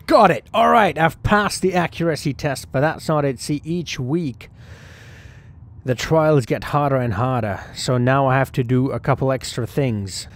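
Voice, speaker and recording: A man's voice: medium pitch, lively intonation, moderate pace. A man hosting a gaming video, narrating a sports career story in the first person as a hopeful young cricketer, talking himself through it. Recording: clear background noise and ambience.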